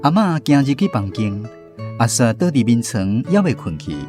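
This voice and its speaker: A man's voice: mid-range voice, big pitch swings, moderate pace. A man with a Taiwanese Hokkien accent reading scripted narration for a storybook radio drama. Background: guitar music.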